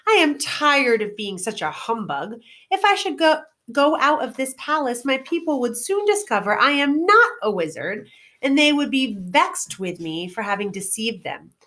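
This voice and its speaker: A woman voicing a grown man, a friendly humbug wizard, in an animated storybook read-aloud. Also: high voice, moderate pace, voice pushed up, full character voice. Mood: confiding.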